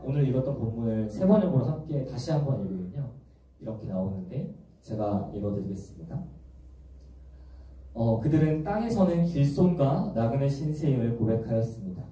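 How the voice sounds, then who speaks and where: A young man preaching to a church youth group in a gentle, explanatory way, often asking the congregation questions. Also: medium pitch. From Busan, South Korea. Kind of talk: sermon. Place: large echoey church hall. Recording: echoey church hall from far off.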